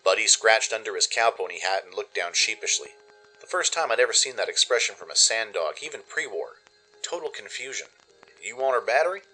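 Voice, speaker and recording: A man reading scripted first-person story narration in an expressive, dramatic way, as the narrator of a radio play. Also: lowish voice, brisk, faint music behind, poor audio.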